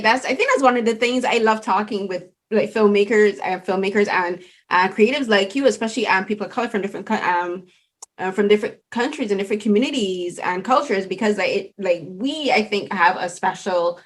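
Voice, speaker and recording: Woman host from Barbados, softened accent, candid and lively conversational delivery. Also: medium pitch. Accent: Barbadian, softened toward neutral. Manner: outspoken, animated, laughs easily. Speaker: interview host. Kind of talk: casual interview talk.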